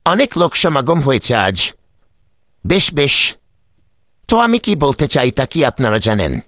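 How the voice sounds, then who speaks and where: Man as droll, animated village mullah. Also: mid-range voice, a little slow. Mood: dryly teasing. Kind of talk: animated cartoon dialogue.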